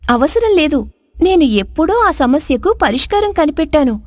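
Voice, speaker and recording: A woman's voice: sits high, expressive, moderate pace, dry recording, studio quality, voice pushed up. A woman voicing an earnest, wronged young princess in a moral folk tale, speaking formally and solemnly. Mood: earnest, sorrowful. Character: spurned dark-skinned young princess, earnest, wise, wronged.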